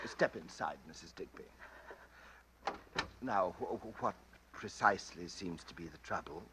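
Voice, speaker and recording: An actor playing a nervous, genteel, suspicious bachelor in an old-time radio drama, hesitant and stammering but polite. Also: male voice, sits low, measured pace. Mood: concerned. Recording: faintly noisy old radio recording.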